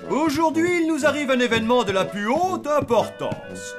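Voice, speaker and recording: A man playing a pompous, bossy, excitable zombie gentleman in a French cartoon, with theatrical delivery. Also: very high voice, moderate pace. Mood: solemn, bossy. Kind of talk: French animated cartoon dub acting. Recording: music behind the voice.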